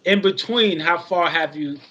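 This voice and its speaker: A man's voice: on the high side, unhurried, bright, forceful tone, lo-fi sound. A man in his forties from Queens chatting as a guest on a video call. He is easygoing and keeps adding "you know what I mean" to his sentences. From Queens, New York. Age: 40s. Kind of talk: casual chat.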